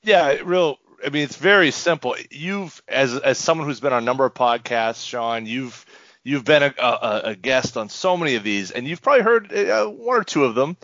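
A man's voice: mid-range voice, animated, moderate pace, fairly bright tone, dry recording. In dry, slightly lo-fi audio, a man with a mid-range voice chats as a podcast co-host. His manner is wry, and he leans on comic exaggeration. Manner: wry, hyperbolic joking. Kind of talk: casual sports podcast chat.

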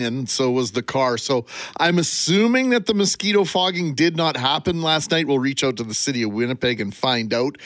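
A man's voice: medium pitch, a bit bright, dry recording. A radio co-host who chats on and on from the studio, often restarting his sentences. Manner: chatty, rambling, with many restarts. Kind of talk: morning radio talk.

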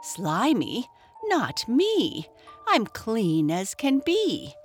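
A woman's voice: a little high, wildly swooping pitch, very slow, soft background music, pitched above the performer's own voice, heavily acted. A woman voicing a posh, fussy little grub creature in a storybook. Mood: prim.

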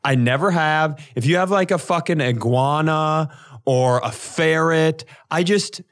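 A man's voice: a little high, unhurried. A man co-hosting a podcast in casual comedic banter, swearing freely and sounding mock-indignant. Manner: crude, profane, mock-indignant. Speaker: podcast co-host.

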